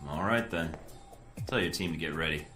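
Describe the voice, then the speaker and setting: A cool, confident spy recruit in a video game. His voice is low and crisp-edged, and he answers laconically. The room echoes around him. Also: normal speed, sharp tone. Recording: roomy echo, faint music behind.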